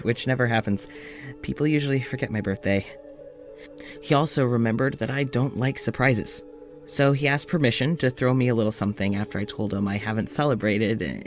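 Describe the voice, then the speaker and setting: A man's voice: medium pitch, natural voice. An actor playing a lonely, anxious man who talks to his audio journal late at night, hesitant and stammering, sighing often, full of self-doubt. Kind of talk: audio drama monologue.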